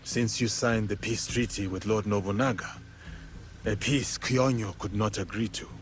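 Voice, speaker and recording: An actor playing an honorable, composed samurai warrior in a video game, speaking formally and earnestly. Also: male voice, lowish voice, steady tone, normal speed, slight background noise, natural voice. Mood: calm. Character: steadfast, honorable male samurai warrior, calm and loyal.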